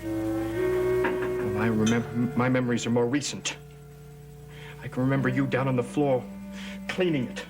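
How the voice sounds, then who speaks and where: An actor playing a bitter, hot-tempered young son in a gothic soap opera, vehement and accusing. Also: male voice, mid-range voice, little pitch movement, normal speed, long pauses, loud music behind the voice, close to an everyday voice. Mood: bitter rage.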